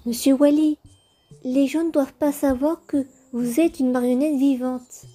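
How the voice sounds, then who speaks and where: A woman's voice: sits high, fairly even intonation, a little slow, dark tone, faint music behind. A woman voicing a polite, earnest schoolchild twin in a cartoon comic dub.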